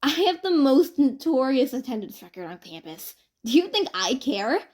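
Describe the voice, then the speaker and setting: A woman's voice: high-pitched, big pitch swings, normal speed, darkish tone, pitched above the performer's own voice. A woman voicing a playful, dramatic college student with a mischievous streak, gushing and pleading theatrically. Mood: teasing, gushing.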